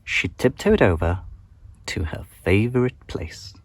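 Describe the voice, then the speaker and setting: Slow, very animated male storyteller. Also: lowish voice, husky, dry recording. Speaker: children's story narrator. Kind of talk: expressive picture-book read-aloud. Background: nature and insect sound effects.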